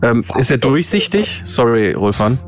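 A man's voice: mid-range voice, very animated, moderate pace, music in the background. A man taking part in a tabletop role-playing game, speaking casually in and out of character.

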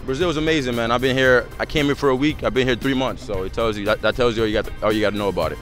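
A man's voice: medium pitch, very fast, faint music behind. A frank, confident man giving his take outdoors near a beach promenade.

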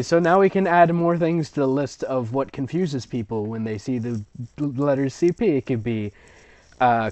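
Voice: mid-range voice, a little mellow, dry recording. A sarcastic, rambling reader giving mocking commentary while reading fan fiction aloud, on dull lo-fi audio. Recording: dull lo-fi audio, close and dry.

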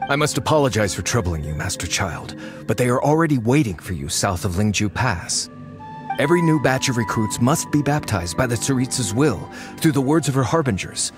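A man's voice: medium pitch, expressive, fairly quick, music in the background, lightly acted. A man voicing a formal, apologetic masked soldier in a video game. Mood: deferential. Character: deferential masked male soldier.

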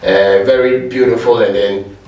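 A man's voice: lowish voice, a little slow, background noise. A man in his thirties with a Ghanaian accent, hosting a casual interview and urging viewers to subscribe. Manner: relaxed, promotional, asks for subscriptions. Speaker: host. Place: indoor studio before a brick-print backdrop. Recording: echoey room from far off.

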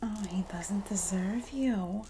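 A woman playing a sassy, supportive Jersey girl in an ASMR roleplay, speaking softly and often whispering in a breathy, doting, gossipy way with a New Jersey accent. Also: mid-range voice, background noise. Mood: gossipy, indignant. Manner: slow, gossipy, doting, dramatic emphasis.

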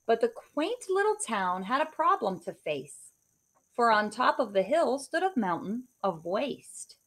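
A woman in her forties, a teacher, reading a rhyming picture book aloud in a sing-song, expressive way at home into a webcam. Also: a little high, unhurried. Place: home living room.

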